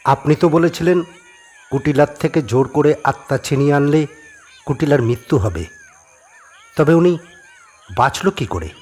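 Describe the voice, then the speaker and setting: A man's voice: fairly high pitch, normal speed, long pauses, darkish tone, close to an everyday voice. A man playing an earnest, questioning young man. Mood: puzzled. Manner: questioning, respectful. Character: earnest young man questioning a spirit about his past. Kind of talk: audio horror drama acting.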